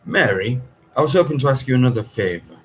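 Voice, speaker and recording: A man's voice: mid-range voice, animated, fast, a little hiss or hum, voice pushed up, close to an everyday voice. A man voicing an awkward, evasive father in a story, hesitant and reluctant to chat. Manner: hesitant, clipped answers. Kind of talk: audiobook character voice.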